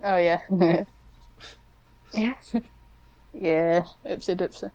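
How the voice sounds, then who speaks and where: A woman's voice: lowish voice, steady tone, very slow, dark tone, no echo, highs cut off. A woman chatting casually as a podcast guest, hesitant and self-deprecating, with a giggly manner. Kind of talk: casual interview chat.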